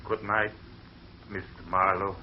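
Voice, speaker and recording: An actor playing a weary farmer grieving a lost girl, talking haltingly and stammering, in a 1940s radio drama on an old lo-fi broadcast. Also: low-pitched, little pitch movement, heavy background noise. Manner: halting, stammering, soft-spoken.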